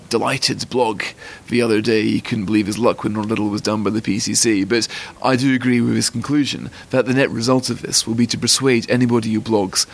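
Gravelly posh Scottish man, articulate. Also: on the low side. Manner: articulate, fluent, considered. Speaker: magazine editor, interview guest. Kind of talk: measured interview answers.

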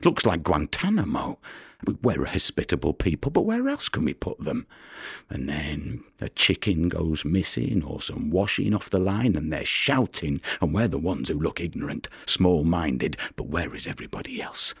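A man's voice: deep voice, very animated, moderate pace, creaky, husky, dry recording, clean studio sound, exaggerated voice. A man acting a weary, bitter Italian fisherman in an audio drama monologue, with a wry, brooding, coarse-tongued manner. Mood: matter-of-fact. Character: weary, bitter male Italian fisherman turned body recoverer.

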